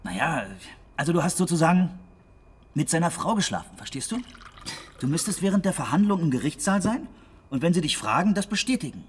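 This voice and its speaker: An actor in a German radio drama playing a slick, wheedling crony with a colloquial, persuasive manner. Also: male voice, on the high side, sing-song, brisk, a little hiss or hum. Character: slick, wheedling male crony, casually grasping.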